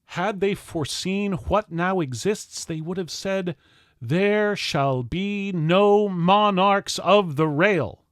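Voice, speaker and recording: A man playing a 19th-century general, delivering a formal political address with loud, emphatic, solemn oratory. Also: high-pitched, slow, no room sound, clean studio sound, voice pushed up. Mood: fervent, defiant. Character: impassioned 19th-century general orating to the American people. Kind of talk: declamatory political oratory, formal speech reading.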